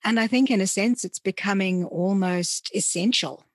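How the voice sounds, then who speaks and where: A woman hosting a conversational interview, speaking deliberately and often rephrasing as she goes. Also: mid-range voice, no room sound, clean studio sound. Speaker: interviewer and podcast host.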